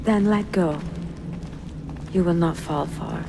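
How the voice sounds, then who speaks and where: An actress playing a cultured, curious, gently teasing traveler in a game cutscene. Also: female voice, lowish voice, slow pace, gravelly, noisy background. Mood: concerned. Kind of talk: dramatic game cutscene dialogue.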